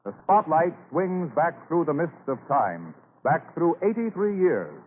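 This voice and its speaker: A man's voice: fairly high pitch, very animated, measured pace, a little hiss or hum. Tinny old-radio, theatrical male narrator. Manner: theatrical, declamatory. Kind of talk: 1930s radio drama narration, scripted. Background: orchestral music.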